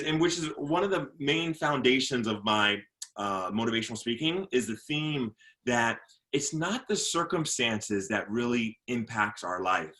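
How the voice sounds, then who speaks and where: A man being interviewed as a motivational speaker. He talks earnestly, often repeats words as he gathers his thoughts, and slows down and goes lower when he talks about faith. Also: a bit bright. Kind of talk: casual interview talk.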